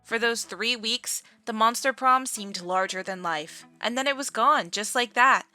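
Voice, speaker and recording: Woman narrator for a game story, bright clear-cut voice, soft music behind. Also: a little high, unhurried.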